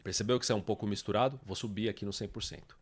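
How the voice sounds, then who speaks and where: A man's voice: fairly low pitch, fairly even intonation, rapid-fire. A man with a Brazilian accent explains step by step as a tutorial presenter, informally, often adding little checking tags after his statements. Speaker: tutorial presenter, music production teacher.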